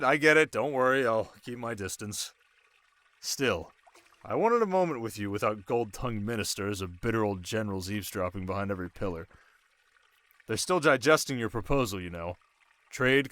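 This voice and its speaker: A man's voice: fairly low pitch, close to an everyday voice. Man acting as a teasing young desert king. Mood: teasing, soft. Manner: teasing, measured, quietly commanding. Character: young, sharp desert king, teasing, shrewd, protective new husband. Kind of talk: intimate ASMR roleplay acting.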